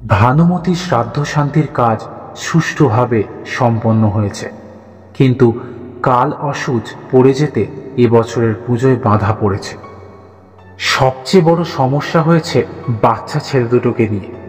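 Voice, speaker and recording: A man's voice: medium pitch, unhurried, pauses often. Male story narrator with long reverb. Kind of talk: scripted dramatic horror story narration. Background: background music.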